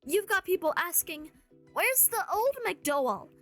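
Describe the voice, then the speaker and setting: High-voiced sarcastic woman ranting. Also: very animated, a little slow, a bit bright, faint music behind. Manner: sarcastic, joking. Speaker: commentary YouTuber. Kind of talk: scripted rant commentary with mocking quotes.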